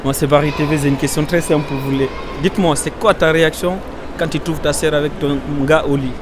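A man's voice: on the high side, steady tone, fast talker. Teasing young man with a street mic. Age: young adult. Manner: teasing, joking, laughs along. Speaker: street interviewer. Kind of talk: casual street interview. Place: busy indoor shopping centre. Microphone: handheld mic. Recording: noisy public mall ambience.

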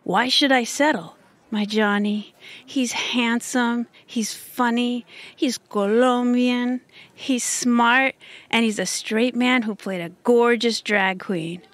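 A young musician hopelessly in love, dreamy and devoted. Her voice sits a little high, in an audio drama style. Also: fairly even intonation, slow pace, no echo. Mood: dreamy, smitten.

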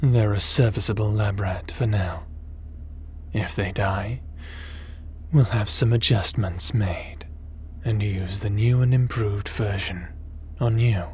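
Noise sits behind a low male voice: a man acting as a cold, power-hungry crime lord from a sci-fi audio drama. He is smug and theatrical, drawing out every measured threat at a very slow pace. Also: a little mellow. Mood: menacing, ambitious.

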